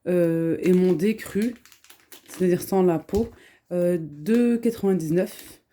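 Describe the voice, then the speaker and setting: A woman from France with a slight accent from speaking Arabic at home, chatting softly and earnestly in a hushed voice at home, with many fillers. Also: lowish voice, slow, slight background noise. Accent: French with a slight Arabic-influenced lilt. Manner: speaks softly, hushed so as not to wake children. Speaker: family vlogger. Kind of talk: casual haul vlog. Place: home kitchen, indoors.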